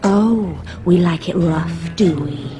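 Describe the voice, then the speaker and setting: An actress playing a seductive, manipulative courtesan and blood mage in a video game, sultry and coy. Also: female voice, on the low side, takes it slowly, dark tone. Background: game music.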